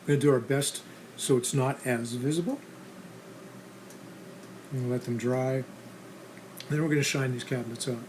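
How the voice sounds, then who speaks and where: A man with a medium-pitched voice narrates a restoration how-to in a casual style. His delivery is plain, practical and instructional. Clear background noise and ambience sit behind his words. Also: fairly even intonation, normal speed, pauses often. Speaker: host of a restoration how-to channel.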